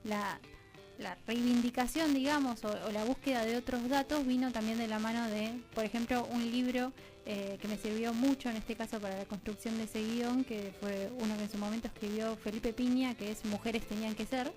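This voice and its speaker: An Argentine woman speaks as a radio interview guest in a rather high voice. She explains in a conversational, halting way, often rephrasing mid-thought. Music is clearly heard behind her. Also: fairly even intonation, a little mellow, rough recording. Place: radio studio.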